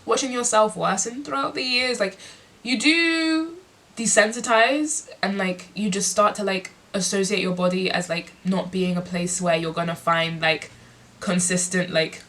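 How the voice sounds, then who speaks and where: A young woman speaking candidly in a personal vlog, congested and sniffly, reflective and filling pauses with lots of "like". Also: medium pitch, fairly bright tone. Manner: reflective, heavy use of "like" fillers. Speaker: musician and performer.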